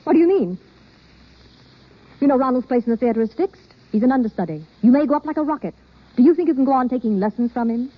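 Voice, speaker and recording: A knowing, snappy girlfriend in an old radio play. She talks fast with a breathy rasp and teases frankly. The sound is muffled, like a vintage broadcast. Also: high-pitched, expressive. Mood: cautioning. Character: brisk, frank, teasing woman friend. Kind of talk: 1930s radio drama acting.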